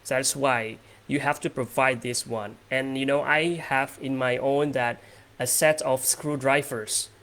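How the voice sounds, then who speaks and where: A young man from Indonesia in his twenties, with a gravelly voice and a middle pitch. He hosts a casual English speaking practice over a video call. He talks in a relaxed way and uses lots of fillers. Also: measured pace. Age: 20s.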